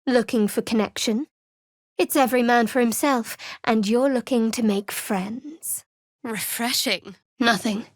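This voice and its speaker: A woman playing a guarded, sardonic young female cleric adventurer in a fantasy video game, with a dry, wry, measured delivery. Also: fairly high pitch, no echo.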